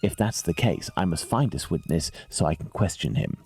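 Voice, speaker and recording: An actor playing a brilliant, composed English gentleman detective in a video game, speaking in formal, deliberate, analytical phrases. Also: deep voice, lively intonation, slightly rough, no echo, exaggerated voice. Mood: musing, focused. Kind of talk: scripted video game acting.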